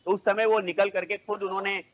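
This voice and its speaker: Dull-sounding link, higher-pitched male North Indian reporter. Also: little pitch movement, a little fast. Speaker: field news reporter. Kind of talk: live unscripted news report. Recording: dull, band-limited live link.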